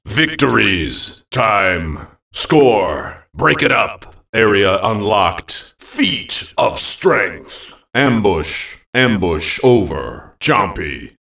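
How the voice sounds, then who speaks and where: Gravelly, theatrical game announcer. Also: male voice, on the low side, sharp tone, reverberant, far from the mic, full character voice. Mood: measured, grand. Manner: theatrical, emphatic, clipped phrases. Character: bombastic male fantasy arena game announcer. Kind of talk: dramatic arena-announcer voice lines.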